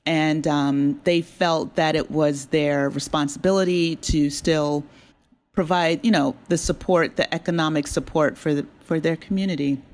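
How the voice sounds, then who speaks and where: A woman's voice: sits low, steady tone, unhurried. A woman speaking as an interview guest, conversational, earnest and explanatory. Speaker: interview guest, audio drama writer.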